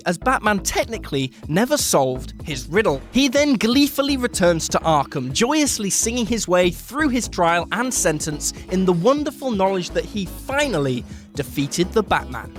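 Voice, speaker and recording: Mid-pitched presenter, highly varied intonation, scripted list narration, emphatic storytelling, slangy humour, soft music bed. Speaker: presenter of a comics countdown video.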